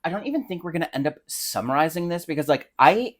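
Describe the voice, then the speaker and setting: A man's voice: fairly high pitch, expressive, quick pace. Flamboyant American man with very swoopy intonation. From American Midwest. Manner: flamboyant, camp, theatrical exclamations. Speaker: podcast co-host. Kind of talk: casual comedic chat about fiction.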